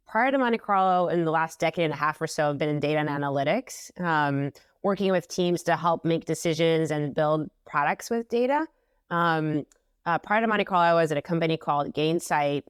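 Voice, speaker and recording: A woman's voice: deep voice, smooth, dry recording, studio quality. A woman in her thirties from Israel, answering interview questions in a chatty way with many ums, over an earphone mic on a video call from a home office. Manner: chatty, frequent ums and restarts. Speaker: guest, company CEO.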